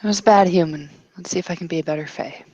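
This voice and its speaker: A woman playing an intense, stubborn fae woman in a tabletop roleplay, speaking deliberately and earnestly. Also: on the low side, mellow, slightly rough, no echo, lo-fi sound. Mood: wounded, intense. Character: intense fiery fae woman, formerly human, brooding and stubborn.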